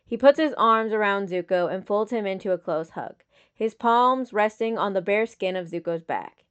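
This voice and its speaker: A woman reading dramatic fiction as a scripted narration, with measured, solemn phrasing. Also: medium pitch, clear, resonant tone, dull audio. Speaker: audiobook reader of fan fiction.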